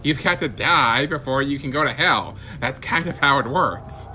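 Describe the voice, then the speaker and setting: A man's voice: mid-range voice, normal speed, bright, forceful tone, a little hiss or hum, low-quality recording. A man playing a weary underworld gatekeeper with a casual, matter-of-fact, put-upon manner.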